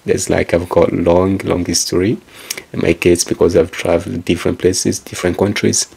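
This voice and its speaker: Deep gravelly man, Kikongo native speaker. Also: level tone, normal speed, warm tone. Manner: rambling, circles back with repeated phrases; calls out to listeners like a presenter. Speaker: author and speaker. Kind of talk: motivational monologue.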